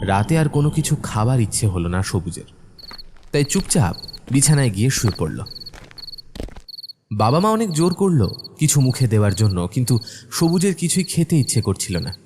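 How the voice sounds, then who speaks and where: A man's voice: mid-range voice, big pitch swings, moderate pace, long pauses, background noise. A man narrating a scripted horror cartoon as a dramatic storyteller.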